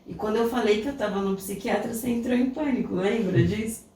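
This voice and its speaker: A woman's voice: mid-range voice, fast talker, mellow. Woman, Brazilian accent, reflective storytelling, very echoey room, faint hiss. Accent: Brazilian Portuguese. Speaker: podcast co-host. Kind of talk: casual conversational storytelling. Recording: echoey room, distant mic, faint noise.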